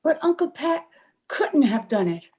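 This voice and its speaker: A woman's voice: high-pitched, big pitch swings, unhurried, dark tone. A woman in an old-time radio play, acting an anxious, devoted young sweetheart in an earnest, fretful, melodramatic manner. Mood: earnest, troubled. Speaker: amateur radio play actor. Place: home, over a video call.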